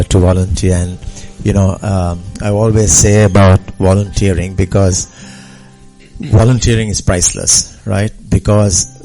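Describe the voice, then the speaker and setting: A man speaks earnestly on a radio show, using plenty of fillers. His voice is deep and mellow. Faint music sits behind him. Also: low-quality recording. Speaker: foundation representative. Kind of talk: speech-like radio talk.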